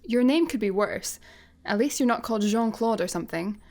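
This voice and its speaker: Woman playing a higher-voiced, sardonic space layabout. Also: animated, a little fast, darkish tone. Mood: resigned, wry. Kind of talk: sci-fi comedy radio play acting.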